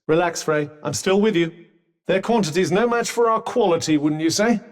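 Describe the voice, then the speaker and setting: A man's voice: sits high, moderate pace, recorded from a distance, close to an everyday voice. A man with a British accent voicing a talking magic cuff in a video game, a dry, eloquent companion who coaches through battle. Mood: confident, encouraging, then dry, sardonic. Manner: sardonic, eloquent, urgent coaching.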